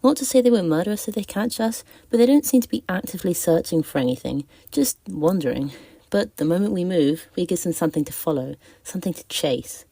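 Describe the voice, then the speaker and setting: Woman sending a voice message, very low mellow voice, gravelly creak, reading her notes. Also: lively intonation, a little fast, dry recording. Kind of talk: prepared, scripted opinion piece.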